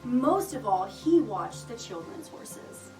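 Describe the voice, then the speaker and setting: A woman reading a children's picture book aloud with expressive, deliberate storytelling delivery. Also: a little high. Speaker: storybook reader. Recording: roomy echo, distant mic, music behind.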